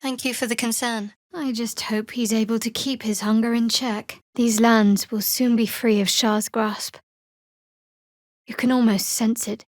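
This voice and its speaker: A woman's voice: a little high, fairly even intonation, measured pace, dry recording, lightly acted. An actress voicing a guarded, sardonic young female cleric in a video game, with a dry, wry manner. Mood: soft, hopeful. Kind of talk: video game character voice acting.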